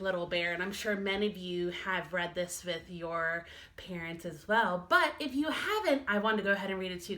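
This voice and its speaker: Bright, animated woman reading to children. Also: mid-range voice. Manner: expressive, addressing children directly. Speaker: first-grade teacher. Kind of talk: storybook read-aloud for young children.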